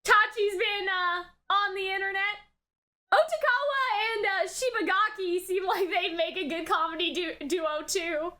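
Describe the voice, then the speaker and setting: A woman's voice: very high-pitched, moderate pace, sharp tone. A woman giving a casual live reaction commentary, exclamatory and slangy, thinking aloud as she goes. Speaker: reaction video host.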